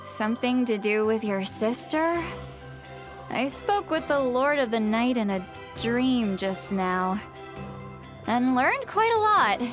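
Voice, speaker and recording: Thoughtful young game character, slightly high voice, measured, explanatory, calm, soft music behind. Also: female voice. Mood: subdued. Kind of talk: English video game voice acting.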